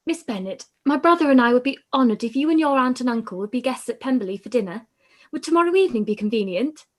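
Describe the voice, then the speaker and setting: A woman playing a shy, earnest young gentlewoman in a period radio play, speaking politely and modestly. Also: a little high, animated, fast talker. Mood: polite, hopeful. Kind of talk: live-read period radio play acting.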